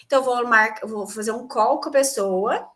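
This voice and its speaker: High-voiced woman teaching an online class. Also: fairly quick, fairly bright tone. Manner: explanatory, asks students questions and answers them. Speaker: English teacher.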